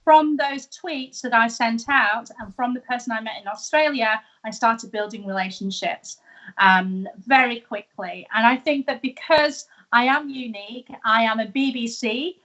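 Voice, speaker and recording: A woman's voice: a little high, moderate pace, a bit bright, muffled recording. A woman from the UK with a British accent, a guest telling her story in a casual, upbeat interview over a video call. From United Kingdom. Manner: chatty and upbeat, laughs easily. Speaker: guest, entrepreneur. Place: live video show.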